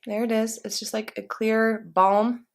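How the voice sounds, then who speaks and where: A woman's voice: fairly high pitch, level tone, a little slow. A woman vlogger chatting casually while unboxing a beauty box, a little congested, rambling with fillers. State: congested from allergies. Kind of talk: casual unboxing chat to camera.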